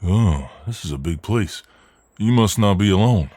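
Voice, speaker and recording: A man's voice: lowish voice, lively intonation, normal speed, mellow, no echo. A man acting out a friendly, protective, cocky Southern US mailman in an ASMR role-play, with a folksy, soothing drawl. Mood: reassuring, concerned.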